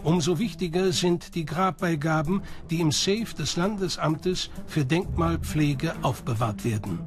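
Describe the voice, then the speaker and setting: A man's voice: a little high, unhurried. A man reading scripted documentary narration as the narrator of the film. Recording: music behind the voice. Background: music.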